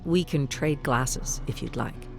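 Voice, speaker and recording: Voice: mid-range voice, slow pace, gravelly, soft background music. A narrator as a sly, knowing male stranger. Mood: knowing, probing.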